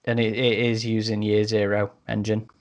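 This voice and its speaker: Man, fairly low pitch, podcast host, casual moderating, conversational. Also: monotone, normal speed. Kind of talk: casual panel discussion.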